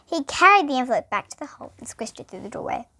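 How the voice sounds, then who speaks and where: A woman's voice: sits high, big pitch swings, fast talker, pushed and bright, slightly rough. A young girl reading a children's bedtime story aloud, expressively and with small stumbles. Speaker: storybook reader.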